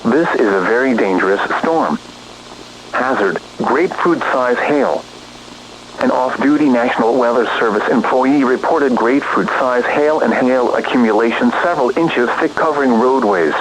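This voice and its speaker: A man's synthetic, formal voice reading a scripted emergency weather warning in a flat, robotic alert-system delivery. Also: mid-range voice, normal speed, a little hiss or hum, low-quality recording.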